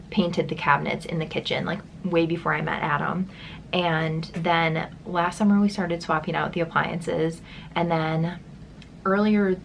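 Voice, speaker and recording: A young woman chats as she gets ready, rambling through her thoughts with plenty of fillers. Her nose is blocked, so her voice sounds stuffy and congested, and it sits a little low for a woman. A faint room hum runs behind her. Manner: casual and rambling, many likes and ums. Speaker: beauty vlogger. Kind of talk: chatty get-ready-with-me vlog.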